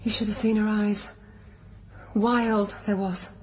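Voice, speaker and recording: Actress as a hushed, troubled young woman. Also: medium pitch, flat delivery, takes it slowly, close to an everyday voice. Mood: haunted. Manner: hushed, hesitant. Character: troubled, frightened young pregnant woman, withdrawn. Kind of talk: radio drama acting.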